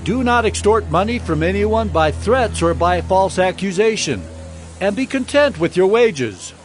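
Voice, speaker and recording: A man's voice: high voice, big pitch swings, a little slow, soft background music. A man playing a stern, fiery prophet in a dramatized audio Bible, admonishing in a declamatory way. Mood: firm, instructing. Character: fiery wilderness prophet, stern male preacher of repentance.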